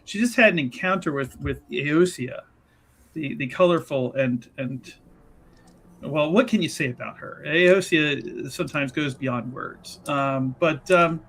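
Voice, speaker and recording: Halting, higher-pitched man with wry delivery. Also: fairly bright tone. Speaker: player on an actual play show. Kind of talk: casual recap and character introduction.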